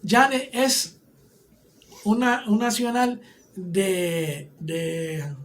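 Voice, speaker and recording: Un hombre con acento puertorriqueño que enseña una clase bíblica, explicando paso a paso y preguntando "¿ok?" a menudo. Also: male voice, sits high, fairly even intonation, extremely slow. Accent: Puerto Rican Spanish. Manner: explanatory, often checks in with "¿ok?". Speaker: Bible teacher. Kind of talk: teaching a Bible class.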